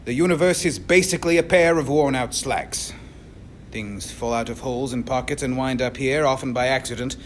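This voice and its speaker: A man's voice: mid-range voice, normal speed, close to an everyday voice. A man playing an authoritative investigator of otherworldly visitors in a radio drama, speaking with dramatic assurance. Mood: grave, explanatory. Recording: clear background noise.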